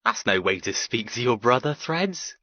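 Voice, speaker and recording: A woman voicing a doting, proud middle-aged father in an audiobook, affectionate and gently persuasive in manner. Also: extremely low pitch, expressive, normal speed, dry recording, highs cut off, voice pushed down, heavily acted. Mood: mildly chiding. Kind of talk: audiobook character dialogue.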